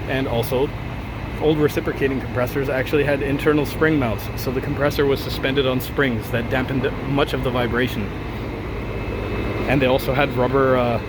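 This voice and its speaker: A hoarse man with a cold, grumbling and ranting about contractors while showing an old air conditioner in his backyard. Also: medium pitch, background noise. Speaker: video host. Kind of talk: casual outdoor vlog walkthrough.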